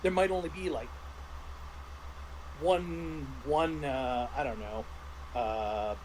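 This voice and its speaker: A man's voice: a little high, slow, a little mellow, a little hiss or hum, rough recording. A middle-aged man chatting casually as a game master, stammering and repeating words as he explains things. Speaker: game master reviewing a tabletop RPG. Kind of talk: casual chat.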